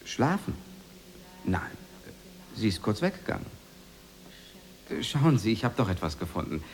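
An actor playing a polite, awkward American husband in a radio drama, stammering and hesitant but eager to please. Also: male voice, on the low side, sing-song, a little slow. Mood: hesitant, explaining.

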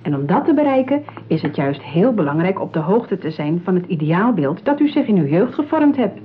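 Slick matchmaking saleswoman, very lively intonation, patronising, persuasive, radio drama, thin phone-like sound. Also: on the low side, fairly quick, slight background noise. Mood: reassuring, persuasive. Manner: polished, persuasive sales patter. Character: smooth, patronising, eager saleswoman at a matchmaking agency. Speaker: actress in a radio play. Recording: tinny, narrow-band old radio play.

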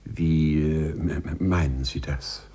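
An actor playing a timid, fussy middle-aged clerk in a 1950s radio play, polite and hesitant, with a stammer. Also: male voice, rumbling low, steady tone, takes it slowly, warm tone, slightly rough, full character voice. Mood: bashful, earnest. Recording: 1950s studio radio recording, music behind.

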